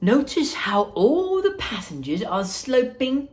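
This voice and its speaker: A woman voicing an eccentric, excitable man who owns a chocolate factory, bossy and theatrical, in a children's storybook reading. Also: high voice, slow, voice pushed up, full character voice. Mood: urgent, proud. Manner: exclamatory, theatrical, hurrying others along.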